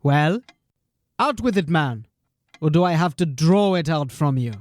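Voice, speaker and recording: An actor playing a haughty, pompous captain in an audio drama who talks in a lecturing way. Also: male voice, fairly high pitch, expressive, measured pace, dry recording. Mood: impatient. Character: haughty, pompous captain, middle-aged man.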